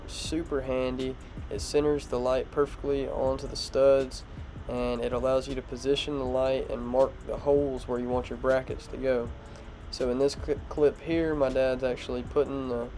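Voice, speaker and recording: Stuffed-up young man in a garage. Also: mid-range voice. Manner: relaxed, plain explanatory talk with fillers. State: congested, stuffed-up nose. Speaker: automotive vlogger. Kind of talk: casual how-to vlog with voiceover. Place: home garage workshop. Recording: music playing behind.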